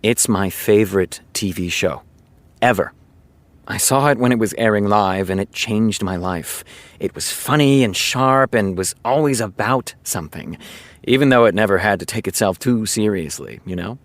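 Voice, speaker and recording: Actor as low, close, easygoing TV showrunner. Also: male voice, no room sound, close to an everyday voice. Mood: earnest, nostalgic. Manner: casual, confiding, storytelling with dramatic pauses. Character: easygoing male TV showrunner, chatty earnest raconteur. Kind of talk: audio drama acting, naturalistic dialogue.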